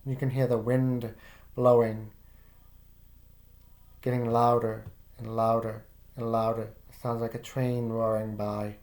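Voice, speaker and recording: A man slowly narrating a guided visualization in the second person, calm and soft-spoken with casual fillers. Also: medium pitch.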